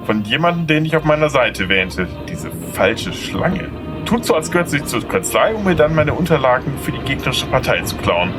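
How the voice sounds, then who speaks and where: A man's voice: medium pitch, big pitch swings, normal speed, over music, natural voice. A man playing a harried young lawyer in an audio drama, earnest and easily flustered. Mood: indignant. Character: harried, polite but indignant young male lawyer.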